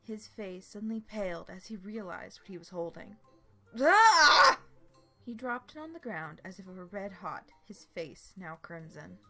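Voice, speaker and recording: A woman's voice: mid-range voice, animated, moderate pace, hardly any pauses. A woman reading a scripted story aloud as its narrator. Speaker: fan fiction narrator. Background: background music.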